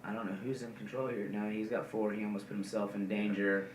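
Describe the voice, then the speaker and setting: Low-voiced man hosting a podcast. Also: little pitch movement, fast, distant mic. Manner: rambling, many ums and uhs. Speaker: podcast co-host. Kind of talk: casual chat about wrestling. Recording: echoey room, faint noise.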